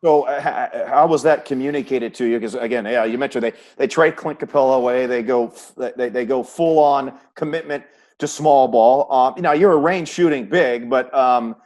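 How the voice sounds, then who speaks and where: A man hosting a conversational sports interview, asking wordy questions with halting, repeated starts. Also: medium pitch, a little mellow. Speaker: host, sports play-by-play announcer.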